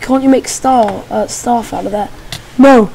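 A young woman giving casual, excitable commentary while playing a game, squealing and making playful sing-song noises. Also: on the high side, measured pace, creaky, rough recording. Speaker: gamer. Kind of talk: casual let's play commentary.

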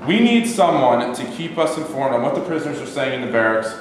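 Measured, formal military interrogator, coaxing, mid-pitched, stage reading with long reverb and faint noise, played by a young man. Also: sing-song, lightly acted. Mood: firm. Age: young adult. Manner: formal, restrained, persuasive. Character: measured, coaxing male Nazi officer interrogator. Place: indoor rehearsal room, camera mic. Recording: very echoey room, faint background noise.

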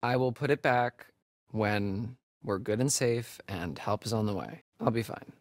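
A man's voice: on the low side, unhurried, no echo, studio quality. A young man with an American accent playing a sarcastic, nervy camp counselor in a video game, speaking in a hesitant, stammering way. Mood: defiant. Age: young adult. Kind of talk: naturalistic video game acting.

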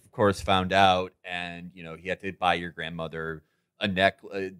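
A man's voice: low voice, fairly bright tone. A man co-hosting a podcast, riffing casually in a sardonic, rambling, profane way. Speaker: podcast co-host.

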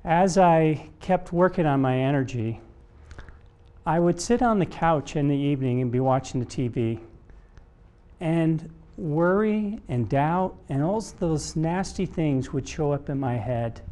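A middle-aged man giving a motivational keynote talk from a stage, speaking in deliberate, emphatic phrases with pauses. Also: fairly high pitch, expressive, mellow. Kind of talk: motivational public talk, storytelling. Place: stage in a conference room before a seated audience. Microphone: lapel mic.

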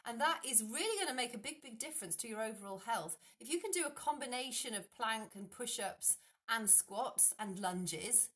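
A middle-aged woman, an online fitness coach, talking casually to a phone camera at home, gesturing a lot and pausing on fillers. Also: on the high side. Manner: animated, gestures a lot with hands, many fillers and restarts. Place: dim room at home, indoors.

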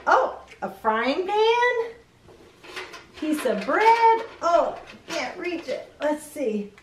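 A middle-aged woman hosting a children's read-aloud, expressive, reading picture-book lines and chatting to young viewers with questions. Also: very high voice, extremely slow, slight background noise. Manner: expressive, addressing small children with questions and exclamations. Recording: roomy echo, distant microphone.

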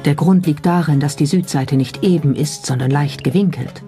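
A documentary narrator reading a script in a measured, explanatory way and posing rhetorical questions. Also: medium pitch, warm tone. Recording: music behind the voice. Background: clearly audible background music.

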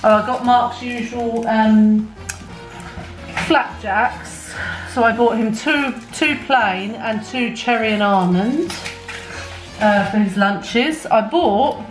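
A woman's voice: fairly high pitch, takes it slowly. A middle-aged British woman chatting casually to camera for a haul video, rambling and correcting herself as she goes. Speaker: shopping haul vlogger. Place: home kitchen, indoors. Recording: echoey kitchen, faint background music. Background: faint music.

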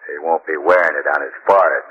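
A man's voice: extremely low pitch, fairly even intonation, quick pace, breathy edge. Commanding male gang leader, curt and hard, vintage crime radio, muffled sound. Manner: clipped, barking orders. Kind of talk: 1940s radio crime acting. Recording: noisy, dull old radio recording.

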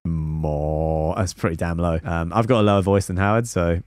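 A man's voice: low-pitched, moderate pace, no room sound. A young man, a music producer, casually talks through a track in his small home studio, sometimes scatting melodies to show what he means. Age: young adult. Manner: relaxed, scats melodies to demonstrate. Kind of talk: casual explanatory stream breakdown. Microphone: studio mic on boom arm.

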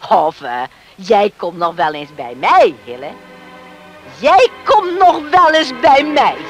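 A woman's voice: mid-range voice, sing-song, slow pace, soft background music. An actress in a radio play as a proud, outspoken middle-aged farm mother, emphatic and indignant in her delivery. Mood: defiant. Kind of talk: Dutch radio play acting.

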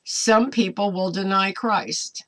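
An American woman in her seventies preaching informally to camera at home, earnest and exhortatory in a deliberate way. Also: mid-range voice, a bit bright. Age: 70s. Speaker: online ministry preacher. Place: small cluttered home room, indoors.

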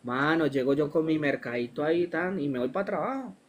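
A young Colombian man with a Colombian accent, a guest in a casual interview, telling his story in an animated, slangy way that acts out the dialogue. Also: a little high, fairly quick, slight background noise.

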